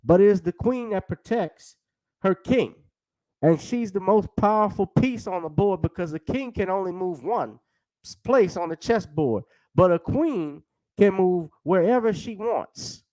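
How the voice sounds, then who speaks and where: Middle aged guy hosting a chat stream, voice a bit high, casual and opinionated, no echo. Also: lively intonation, a little slow, mellow. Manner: conversational, opinionated. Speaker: talk show host.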